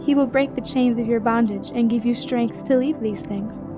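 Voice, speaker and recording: A woman's voice: a little high, fairly even intonation, moderate pace, lightly acted. Woman playing a solemn, earnest young messenger, music beneath. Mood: solemn reassurance. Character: serene earnest young woman bearing a message of faith. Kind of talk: audio drama acting.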